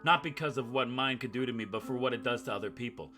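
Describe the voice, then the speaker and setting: A man with a mid-pitched voice hosts a game playthrough, with guitar music clearly behind him. He reads the on-screen text aloud, then cuts in with wry, sarcastic and self-deprecating jokes. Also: fast. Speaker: gaming YouTuber, host of a playthrough. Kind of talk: let's play commentary with read-aloud game text and joking asides. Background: acoustic guitar game music.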